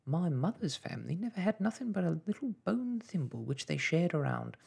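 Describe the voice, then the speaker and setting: A storyteller voicing a fretful, guilt-ridden mother in a children's storybook, breathy and quavering, with a folksy, lamenting manner. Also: mid-range voice, very animated, fairly quick, darkish tone, pitched above the performer's own voice, exaggerated voice. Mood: rambling regret. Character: fretful, guilt-ridden mother of a tiny family, tearful scolder.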